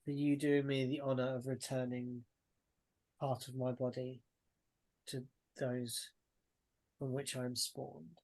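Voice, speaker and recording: A man voicing an ancient, fading dragon spirit in a tabletop game, speaking in slow, grave, breathy tones. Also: medium pitch, little pitch movement, darkish tone, poor audio. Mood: solemn. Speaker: game master.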